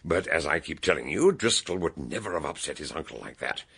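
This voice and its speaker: An actor playing a shrewd, commanding older British gentleman detective in a radio drama, booming and declamatory. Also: very animated, a little fast, gravelly, slightly rough, full character voice. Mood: explaining, excited.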